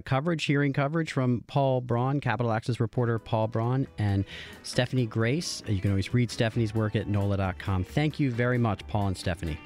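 A man's voice: medium pitch, a little mellow, no room sound. Male radio host, mid-range voice. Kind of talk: public radio hosting and story introductions.

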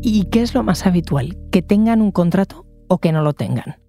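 A woman hosting a news podcast, reading a measured, clear script over a music bed. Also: lowish voice, expressive, dark tone.

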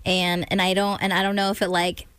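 Relaxed, teasing woman radio co-host. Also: medium pitch, brisk, clear, resonant tone, no room sound. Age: late 20s. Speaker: radio morning show co-host. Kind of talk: casual radio chat. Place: radio studio. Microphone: studio mic.

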